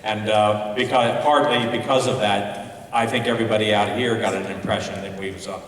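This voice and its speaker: Halting man in a very echoey hall. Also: fairly low pitch, steady tone. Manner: halting, searching for words. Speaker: festival adjudicator giving feedback on plays. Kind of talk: informal spoken critique at an awards ceremony. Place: large hall at a school ceremony. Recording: very echoey hall, distant microphone.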